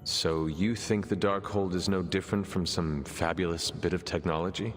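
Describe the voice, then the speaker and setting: An actor playing a calm resurrected warrior in a video game, speaking in a measured, formal, earnest way. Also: male voice, low-pitched, long reverb tail, soft background music, natural voice. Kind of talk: video game cutscene acting.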